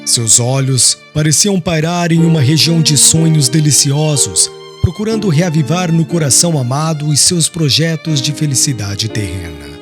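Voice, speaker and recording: A man narrating an audiobook dramatically, with solemn, literary, deliberate phrasing. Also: on the high side, expressive. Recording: prominent music under voice.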